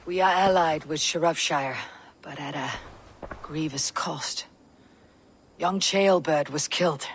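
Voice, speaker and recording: A man playing a stoic, battle-hardened Viking warrior in a video game, speaking in a measured, solemn way. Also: on the high side, fairly bright tone, a little hiss or hum. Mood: somber. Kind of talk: dramatic video game acting.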